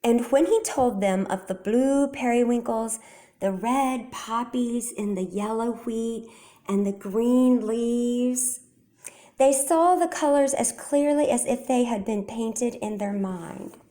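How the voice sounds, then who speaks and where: A woman storyteller reading a children's picture book aloud in a deliberate, expressive way. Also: fairly high pitch.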